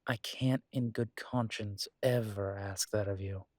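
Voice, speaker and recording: A man acting as an earnest, protective werewolf in an intimate roleplay audio, speaking tenderly to a partner with halting pauses. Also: lowish voice, steady tone, a little mellow, no room sound, clean studio sound, close to an everyday voice.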